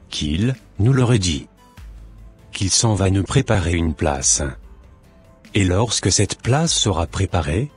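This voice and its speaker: A man's voice: low voice, husky, exaggerated voice. A man playing a stern, solemn heavenly messenger who preaches in declamatory phrases in a cartoon, over music. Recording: music behind the voice.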